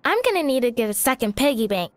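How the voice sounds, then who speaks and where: High, bubbly little schoolgirl. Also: very animated, fast, no echo. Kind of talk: video game voice acting.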